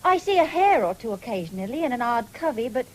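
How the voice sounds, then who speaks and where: High-voiced, determined English farm wife. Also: female voice, sing-song. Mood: polite, businesslike. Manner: brisk, firm, plain-spoken. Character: proud, determined farm wife and mother of two boys. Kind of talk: 1970s British radio drama acting. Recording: noisy old radio broadcast recording.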